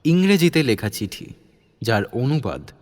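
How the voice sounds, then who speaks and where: Male storyteller with lively, varied intonation. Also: medium pitch, unhurried. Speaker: narrator. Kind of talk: scripted detective story narration.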